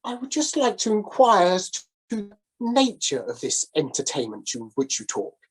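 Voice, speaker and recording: A woman voicing a stiff, proper gentleman in his late fifties who is easily shocked. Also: medium pitch, sing-song, normal speed, husky, voice pushed up. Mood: prim.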